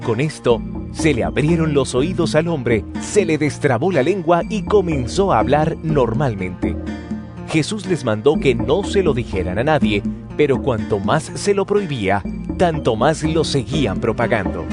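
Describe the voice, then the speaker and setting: A man narrates a scripture audio drama from a script. His mid-pitched voice has lively, varied intonation, and music plays clearly behind him. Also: normal speed.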